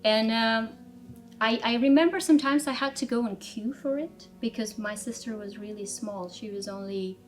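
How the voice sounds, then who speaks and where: A woman in her thirties from Armenia, telling her life story in a measured, reflective way in an interview, with frequent fillers and restarts. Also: fairly high pitch. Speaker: interviewee, strategy director. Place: office.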